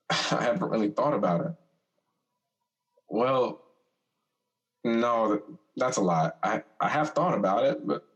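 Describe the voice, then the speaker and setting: An actor playing a teasing, insistent young older brother in an audio drama, drawling and stammering at times. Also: male voice, mid-range voice, natural voice, poor audio. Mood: reflective, uneasy. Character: teasing older brother, a restless young man who has died. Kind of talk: stage-style audio drama dialogue.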